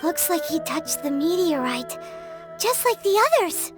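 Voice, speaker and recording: A woman's voice: sits high, lively intonation, a little slow. A woman voicing a small, chirpy, childlike fairy companion in an anime video game, eager and exclamatory. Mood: curious, eager. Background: game music.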